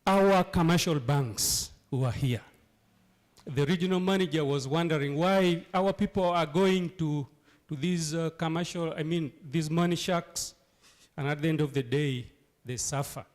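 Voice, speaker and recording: A hoarse man from Uganda, sick with a cold, speaking emphatically at a town hall meeting and asking rhetorical questions. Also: on the high side, unhurried. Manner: emphatic, rhetorical questions, repeats key phrases. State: hoarse from flu and cough. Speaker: local leader addressing a town hall.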